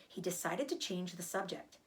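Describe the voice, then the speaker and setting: A woman narrating a children's storybook aloud in an expressive, animated way, like a teacher reading to a class. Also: on the low side, moderate pace. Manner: animated, acts out dialogue.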